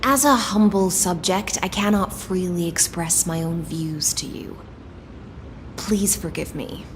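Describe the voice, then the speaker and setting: Actress as a formal low-voiced woman knight commander. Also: in a large hall, noisy background, close to an everyday voice. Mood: humbly apologetic. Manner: formal, deferential, measured. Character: dutiful, courteous female knight commander sworn to an emperor. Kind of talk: scripted fantasy game dialogue.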